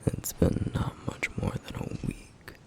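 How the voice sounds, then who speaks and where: A man voicing a stern yet caring flame demon in an ASMR roleplay, speaking in a hushed, gravelly whisper with measured, formal phrasing. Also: lightly acted. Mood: stern warning. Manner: measured, formal, slightly old-fashioned phrasing.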